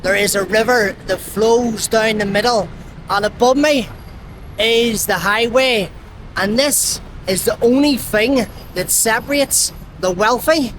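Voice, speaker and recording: A man's voice: high voice, fairly bright tone, poor audio. Belfast male travel vlogger, upbeat presenter, walking and talking outside, faint traffic hum. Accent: Belfast Northern Irish. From Northern Ireland. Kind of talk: travel vlog narration and interview. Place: outdoors in a city.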